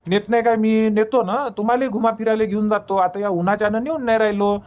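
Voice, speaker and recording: A man's voice: high voice, fairly even intonation, fast, darkish tone, natural voice. A man playing a placating, argumentative village husband in a cartoon comedy, in colloquial Varhadi Marathi, repeating himself to make his point. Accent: Varhadi Marathi from Vidarbha.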